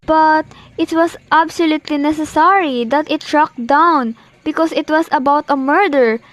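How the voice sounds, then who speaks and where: A woman's voice: sits high, fairly even intonation, moderate pace, fairly bright tone, dry recording. A young woman playing an imperious, nervous married lady in an amateur radio play, demanding and impatient. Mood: urgent, demanding. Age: teenager to young adult. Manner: demanding, agitated, impatient, haughty. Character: imperious, nervous, indignant married woman reporting an overheard murder plot.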